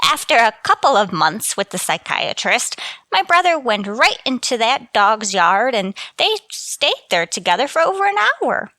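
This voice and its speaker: A woman's voice: on the high side, big pitch swings, normal speed, pushed and bright, no echo. An actress playing a chirpy, scatterbrained, motherly landlady in a scripted radio comedy, in a sing-song, absent-minded manner. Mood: earnest, scatterbrained. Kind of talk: scripted radio sitcom acting.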